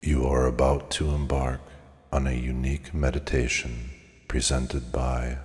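A man narrating a scripted guided meditation in a measured, soothing, hypnotic way with deliberate pauses. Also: a bass voice, flat delivery, darkish tone, soft background music. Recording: long cavernous reverb on the voice.

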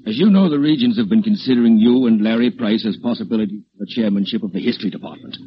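An actor playing a concerned, authoritative college president in a 1940s radio drama, probing and paternal. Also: male voice, lowish voice, fast, husky. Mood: concerned, stern. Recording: dull, faintly noisy 1940s radio recording.

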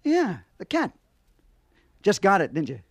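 Animated high-voiced folksy cop neighbor. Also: male voice, measured pace, dry recording, studio quality, heavily acted. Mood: wary, placating. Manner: chatty, hesitant, plain-spoken. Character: nosy folksy off-duty policeman neighbor, male. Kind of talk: old-time radio thriller acting.

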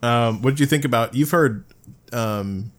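A man's voice: mid-range voice, unhurried. A man hosting a podcast, chatting casually, rambling with lots of fillers and laughing often. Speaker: podcast host.

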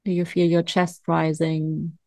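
Female meditation guide, lowish and very unhurried, calm and soothing, leaving long pauses, close dry sound. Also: gravelly, dry recording. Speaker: meditation coach.

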